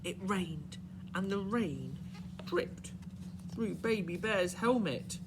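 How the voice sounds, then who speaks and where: Expressive storyteller, slow and noisy recording. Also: male voice, sits high. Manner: expressive, questioning, addressing young children. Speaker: primary school teacher reading a story. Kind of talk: read-aloud children's storytelling with asides. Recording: clearly noisy background.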